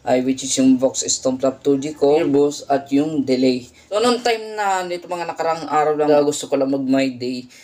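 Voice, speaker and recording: A man's voice: fairly high pitch, normal speed, darkish tone. A man talking casually and conversationally as a guitar effects vlogger, often ending phrases with a tag question. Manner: conversational, frequent "no?" tags. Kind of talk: casual vlog demo, Tagalog mixed with English. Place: indoor home studio by a window.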